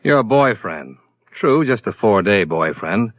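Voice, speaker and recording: An actor in a 1950s radio drama playing a blunt, hard-boiled insurance investigator who talks in a terse, matter-of-fact way. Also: male voice, lowish voice, very animated, a little slow, no room sound. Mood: pressing, blunt. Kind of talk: 1950s radio detective acting. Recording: dull vintage radio recording.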